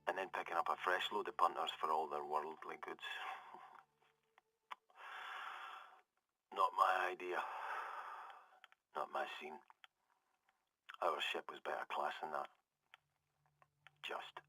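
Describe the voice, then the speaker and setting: An actor playing a hard-bitten Scottish ex-military survivor in a game audio log, talking in a wry, conversational, confessional way. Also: male voice, extremely low pitch, fairly even intonation, takes it slowly, long pauses, pushed and bright, dry recording, exaggerated voice, low-quality recording. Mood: dry, knowing. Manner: conversational, hesitant, darkly wry, trailing off. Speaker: security corporal.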